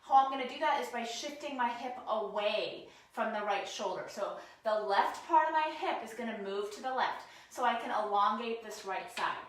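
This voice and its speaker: Hoarse woman leading desk stretches. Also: a little high, moderate pace, hardly any pauses, very echoey. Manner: casual, encouraging, talks while demonstrating stretches. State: hoarse from a cold. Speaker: posture and ergonomics instructor. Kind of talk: guided stretching lesson, talking to camera. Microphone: camera mic, sometimes turned away.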